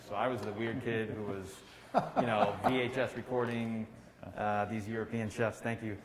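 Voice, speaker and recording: A middle-aged American man from Kentucky, a chef talking casually and telling stories as a guest. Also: fairly low pitch. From Kentucky, United States. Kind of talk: casual conversational storytelling. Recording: roomy, echoey room with faint noise.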